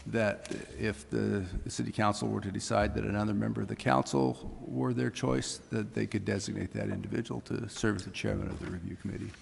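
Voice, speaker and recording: A man speaking as a city official, giving measured, deferential, formal explanations at a public meeting. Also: lowish voice, flat delivery, creaky. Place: city council chamber. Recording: echoey chamber with faint noise.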